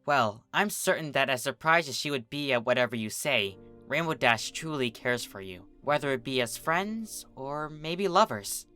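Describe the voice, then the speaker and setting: A narrator voicing a bookish, reassuring young female pony with a gentle, earnest, articulate manner, in a cartoon fan story. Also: medium pitch, lively intonation, moderate pace, few pauses, soft background music.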